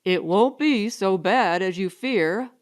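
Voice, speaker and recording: Woman reading as a gentleman, audiobook figure, calm, reassuring, practical, slow measured speech. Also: mid-range voice, lightly acted. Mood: comforting. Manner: comforting, measured.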